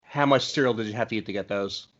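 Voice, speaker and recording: A man's voice: lowish voice, fast, a bit bright. Male podcast interviewer, dull lo-fi sound. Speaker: podcast host interviewing a guest. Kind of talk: casual interview chat. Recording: dull, lo-fi audio.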